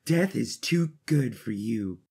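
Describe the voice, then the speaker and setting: Man as a husky, creaky young rebel woman. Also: mid-range voice, animated, takes it slowly, dark tone, exaggerated voice. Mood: defiant. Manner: terse, resolute. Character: defiant young woman rebel leader, tough, determined.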